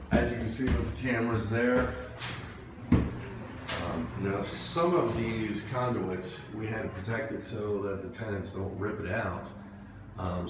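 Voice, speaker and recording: Guy with a lowish voice doing a casual job walkthrough, kinda halting, echoey and really noisy behind him. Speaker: security camera and intercom installer presenting a job. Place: walking around an apartment complex building. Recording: echoey, loud ambient and vehicle noise.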